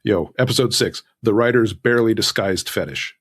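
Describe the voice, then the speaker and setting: Man, lowish pitch, casual interview guest, self-deprecating, conversational storyteller. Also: a little fast, a bit bright, breathy edge. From Southern California. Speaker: guest author.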